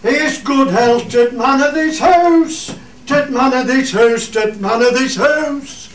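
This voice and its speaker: Male actor as rustic shepherd, Cumbrian accent, radio play, unhurried, distant mic, faint background noise. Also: very high-pitched, reverberant. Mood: hearty, ceremonial. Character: rustic shepherd leading toasts and old songs. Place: studio theatre.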